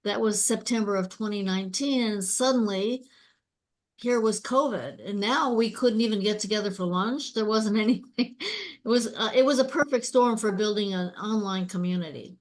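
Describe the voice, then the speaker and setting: A woman's voice: medium pitch, normal speed. An older woman, founder and host of an online group, talking through a casual discussion in a reflective, personal way.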